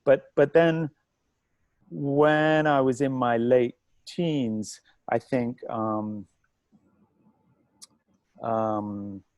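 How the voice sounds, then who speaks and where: A man from England, a guest artist, answering interview questions haltingly with many restarts and fillers over a video call. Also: medium pitch, fairly even intonation, a little mellow, dry recording, dull audio.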